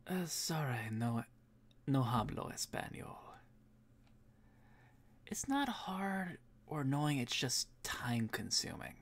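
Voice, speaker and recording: A man streaming as he edits a comic, muttering quietly to himself and answering chat in a casual way. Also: mid-range voice, very animated, takes it slowly, pauses often.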